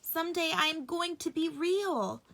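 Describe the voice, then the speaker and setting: Woman voicing an innocent, high-pitched little toy rabbit. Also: measured pace, creaky, fairly bright tone, full character voice. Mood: happy, wondering. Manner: innocent, wondering, exclaiming. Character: wistful, eager young male velveteen toy rabbit.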